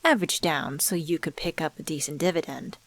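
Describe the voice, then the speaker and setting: A mysterious female stranger acted by a woman in an ASMR roleplay drama, speaking in a somewhat low voice with a very dry, close sound. She is suave and measured, posing rhetorical questions with teasing, smoothly condescending confidence, as if she knows everything about the listener. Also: fairly bright tone, no echo. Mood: smug, taunting. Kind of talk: scripted ASMR roleplay monologue spoken to the listener.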